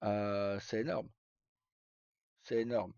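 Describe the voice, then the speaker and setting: A man's voice: lowish voice, steady tone, takes it slowly, creaky, a little mellow, smooth. A man chatting casually to live viewers as a streamer, rambling and hesitant in colloquial speech with some swearing. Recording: dull lo-fi mic in echoey room.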